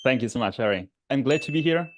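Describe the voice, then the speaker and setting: A man's voice: medium pitch, lively intonation, fast, a little hiss or hum. A man in his thirties from Sardinia with an Italian accent, a guest on an investing interview, speaking with frequent restarts and easy laughs. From Italy, Sardinia. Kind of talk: podcast interview.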